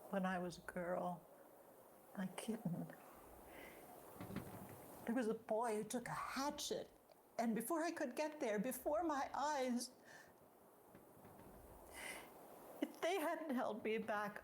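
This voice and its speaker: A woman's voice: a little high, animated, unhurried, pauses often, a little hiss or hum. A woman playing a reserved, kindly small-town wife in a stage drama, speaking in a proper and hesitant way. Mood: shaken. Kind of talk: stage drama dialogue acting.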